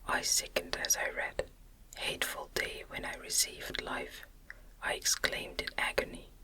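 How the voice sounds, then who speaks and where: A woman reading a gothic novel as an ASMR narrator in a gentle, breathy, unvoiced whisper, close to the microphone. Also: rough recording. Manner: gentle, deliberate literary reading. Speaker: audiobook narrator.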